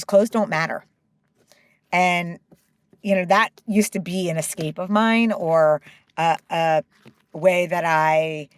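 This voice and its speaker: Woman, middle-aged, interview guest, slightly low voice, dry close sound, candid, halting restarts. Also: no echo. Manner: open and emotional, with halting restarts. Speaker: guest, company co-founder.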